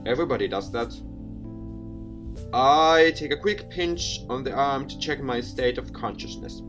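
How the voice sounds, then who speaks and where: A man's voice: medium pitch, a little slow, fairly bright tone. A young man reads a visual novel aloud as a gaming host, taking his time. His delivery is theatrical, broken by casual asides, and faint music plays underneath. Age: young adult. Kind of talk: let's play narration, reading visual novel aloud.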